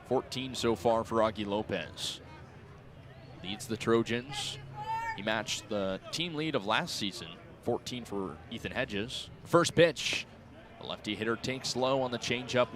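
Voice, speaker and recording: A young man doing live radio baseball play-by-play in short, clipped, descriptive phrases, his energy following the action on the field. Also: mid-range voice. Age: young adult. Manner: clipped descriptive phrasing, rising excitement on plays. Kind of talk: live radio sports commentary.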